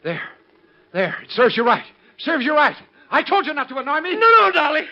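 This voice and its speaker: An unhinged, bitter husband in a 1930s radio melodrama. His voice is high and theatrical, sneering and agitated. The old recording is muffled. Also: very animated, brisk, full character voice. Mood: bitter rage, then panicked remorse. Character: unhinged, resentful, cowardly husband who turns killer.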